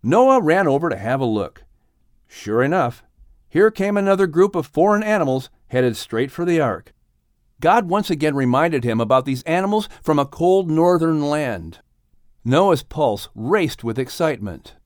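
A man reads an audiobook as its narrator, with dramatic, earnest delivery. His voice sits a bit high with lively swings, in a dry recording. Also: a little slow, dry recording. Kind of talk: expressive storybook narration.

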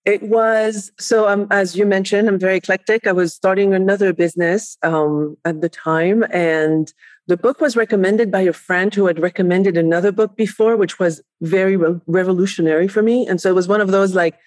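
A middle-aged woman with a French accent, chatting lively as a podcast guest and laughing often. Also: mid-range voice, normal speed, no room sound. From France, raised in Spain. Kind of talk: casual interview chat.

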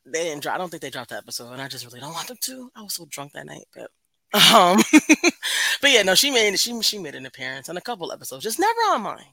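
A woman with a deep voice, chatting casually as a podcast guest, laid-back and rambling, swearing easily. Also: big pitch swings, fast talker, creaky, sharp tone.

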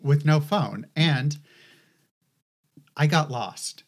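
A woman's voice: deep voice, very slow. Middle-aged woman cohost, rambly story, swears a lot, lots of um and like. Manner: swears casually, rambling anecdote with fillers. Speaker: podcast co-host. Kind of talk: casual chat, storytelling.

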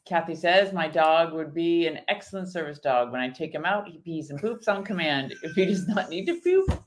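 A woman's voice: deep voice, sing-song, moderate pace. A woman co-hosting a faith talk show, chatting casually, reading viewer comments and leading an earnest spoken prayer. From Iran. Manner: chatty, reads viewer comments aloud, earnest when praying. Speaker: podcast co-host.

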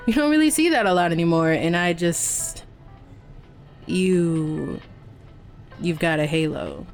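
An actress playing a friendly, slightly shy young coworker who chats casually in an audio drama. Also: female voice, lowish voice, animated, measured pace, faint music behind. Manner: casual, chatty, hesitant.

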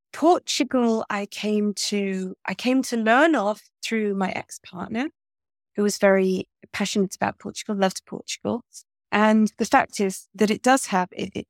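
A middle-aged woman from England talks chattily and thoughtfully, restarting her sentences and adding fillers as she goes. Her voice sits in a middle pitch and sounds close and dry, with no room echo. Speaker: guest. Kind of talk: conversational podcast interview.

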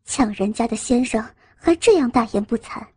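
An arrogant young woman of a romance audiobook, acted out by a woman narrator. She speaks very high, with a haughty, whining edge, in a dry close recording. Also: expressive, normal speed, warm tone, slightly rough, no echo. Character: arrogant, spoiled young woman, clingy and shrill when crossed.

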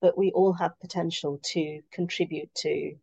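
A woman's voice: fairly low pitch, fairly even intonation, normal speed, vocal fry, dark tone, husky, no room sound, highs cut off. A woman interviewed as a guest consultant, speaking in a reflective, hesitant way. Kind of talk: conversational interview.

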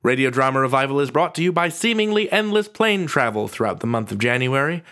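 A male podcast host with a mid-pitched voice. He moves between panel talk and casual scripted narration, with a wry, sardonic manner. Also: sing-song, brisk, fairly bright tone.

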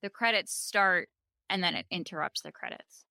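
Bright-voiced woman podcast co-host. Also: lowish voice, animated, vocal fry, no room sound. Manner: thoughtful, measured, with many fillers. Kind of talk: casual chat about a TV episode.

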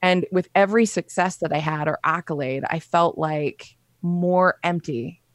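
Female guest, lowish voice, dry close sound, candid chatty storyteller. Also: measured pace, dry recording. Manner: frank and conversational, with frequent fillers. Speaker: podcast guest.

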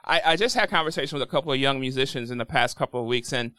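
Bright, crisp male interview host. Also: medium pitch, rapid-fire. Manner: encouraging, frequent backchannel agreement. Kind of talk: casual interview.

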